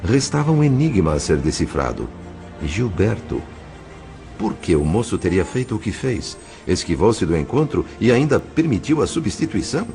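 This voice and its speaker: Deep-voiced Brazilian male narrator, expressive and solemn. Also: moderate pace, soft background music. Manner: solemn, literary phrasing. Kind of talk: dramatic scripted audiobook narration.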